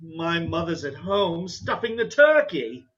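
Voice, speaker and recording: A dull recording of a mild, flustered doctor played by a man in a stage farce. His pitch jumps about as he hesitantly protests and tries to get out of things. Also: very animated, measured pace, a bit bright, heavily acted. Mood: indignant, baffled. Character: flustered, reluctant mild male doctor, easily put upon. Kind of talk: stage farce readers theater acting.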